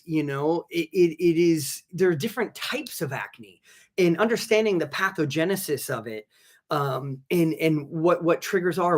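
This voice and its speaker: Slightly raspy man chatting on a live stream. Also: a little high. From Southern California. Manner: rambling, many restarts and fillers, reads chat names aloud. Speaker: physician assistant and channel host. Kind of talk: casual live-stream chat.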